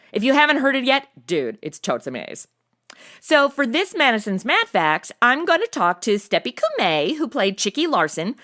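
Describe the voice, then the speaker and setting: A woman's voice: fairly high pitch, bright, forceful tone. A woman playing a bubbly young podcast host with casual, valley-girl slang in a scripted comedy interview. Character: bubbly slangy modern young woman hosting history segment.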